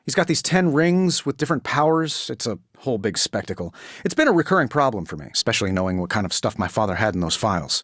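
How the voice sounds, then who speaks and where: Casting: cocky genius superhero for a game, lighter higher pitch, glib sarcasm, very lively inflection. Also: male voice, no echo, clean studio sound. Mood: wry, confident. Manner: glib, sarcastic, self-assured quipping. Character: cocky, wisecracking billionaire inventor superhero in powered armor. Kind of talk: video game voice acting.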